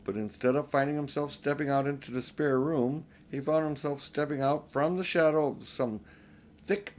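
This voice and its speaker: A man's voice: mid-range voice, darkish tone. An older man narrating a children's storybook as an audiobook reader. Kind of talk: storybook narration read aloud.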